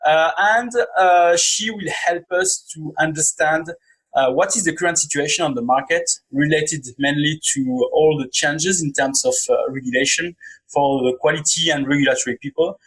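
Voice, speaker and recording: Guy in his 30s hosting a podcast chat, voice a bit high, kinda halting delivery. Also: fairly bright tone, poor audio. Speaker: podcast host. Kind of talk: conversational interview. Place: small indoor room, video call.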